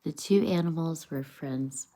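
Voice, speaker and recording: A woman's voice: low voice, unhurried, mellow, a little hiss or hum. A woman reading a children's story aloud as an amateur narrator, occasionally stumbling and rereading phrases. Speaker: amateur reader of a children's book.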